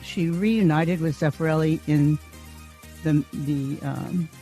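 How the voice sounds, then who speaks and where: Mellow-voiced woman podcast host, faint music. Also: low voice, steady tone, slow. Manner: admiring, with frequent restarts and fillers. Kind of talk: guest introduction and interview.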